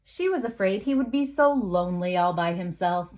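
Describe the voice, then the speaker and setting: A woman teacher reading a picture book aloud to young children, expressively, with dramatic emphasis, pauses and asides to her listeners. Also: medium pitch, lively intonation, a little mellow.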